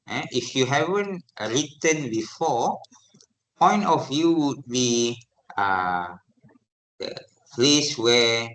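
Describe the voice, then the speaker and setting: Lecturer, man, malaysian english accent, middle-aged, lively classroom talk, keeps checking if students follow. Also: medium pitch, low-quality recording. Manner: lively, asks the class for replies often. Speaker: university lecturer.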